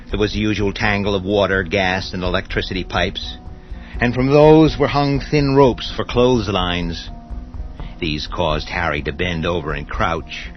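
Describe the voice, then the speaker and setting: Male narrator, deep voice, dramatic scripted horror storytelling, muffled audio, light music behind.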